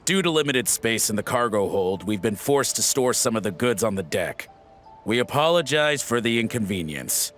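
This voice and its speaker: A man's voice: lowish voice, animated, normal speed, fairly bright tone, soft background music. A polite ship crewman. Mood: apologetic. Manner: formal, apologetic announcement. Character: polite male ship crewman making an announcement. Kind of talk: video game voice acting.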